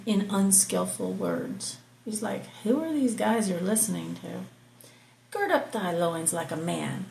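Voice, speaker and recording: A woman reading scripture aloud slowly and explaining it, stopping for casual asides and soft whispered remarks. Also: mid-range voice, creaky, reverberant, low-quality recording. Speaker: Bible reading host. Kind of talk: slow scripture reading with commentary.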